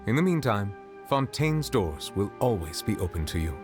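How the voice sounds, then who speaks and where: A man voicing a dignified, reserved ancient dragon statesman in a video game, formal and measured. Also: fairly low pitch, big pitch swings. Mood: solemn, formal. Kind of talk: video game character acting. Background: faint game music.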